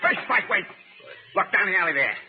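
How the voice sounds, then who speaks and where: An actor playing a panicky gangster henchman with a nervous, excitable American delivery in 1930s radio drama style. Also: high-pitched, fairly quick. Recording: muffled 1930s radio, loud background music.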